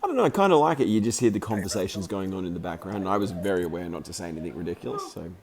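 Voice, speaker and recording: A man just over the flu, his voice croaky, giving chatty, rambling commentary over footage of a hockey game he filmed, with plenty of fillers and restarts. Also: deep voice, animated, rapid-fire. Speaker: amateur hockey commentator and video maker.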